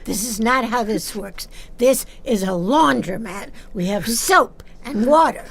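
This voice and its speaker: An actress playing a flustered older seamstress in an audio drama, with a halting, repetitive, stammering way of talking. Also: female voice, fairly high pitch, expressive, creaky. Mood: flustered, firm.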